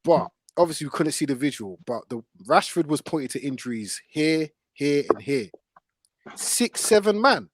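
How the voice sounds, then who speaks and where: A man's voice: a little high, expressive, moderate pace, no echo. A man hosting casual football debate, emphatic and slangy, repeating himself for stress. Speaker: football podcast host.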